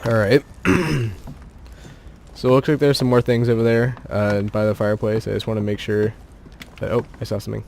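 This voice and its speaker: A man's voice: on the low side, flat delivery, moderate pace, creaky, darkish tone, slight background noise. A man giving casual gameplay commentary into a desk mic, chatty, laughing often and thinking aloud. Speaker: gaming channel host. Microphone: home desk mic without pop filter.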